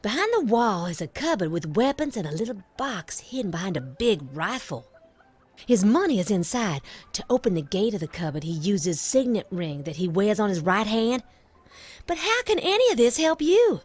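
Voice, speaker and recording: A woman's voice: medium pitch, very animated, moderate pace, darkish tone, slightly rough, faint music behind. An actress playing an anxious, sincere young woman in love in a video game, speaking in an earnest, plaintive way. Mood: earnest, sad. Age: young adult. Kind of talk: video game cutscene acting.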